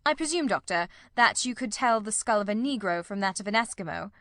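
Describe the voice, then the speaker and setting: Confident gentleman detective, read by a woman, analytical, didactic, precise, storybook mystery, dry close recording. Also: mid-range voice, lively intonation, a little fast, dry recording, natural voice. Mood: assured, lecturing. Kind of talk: audiobook character reading.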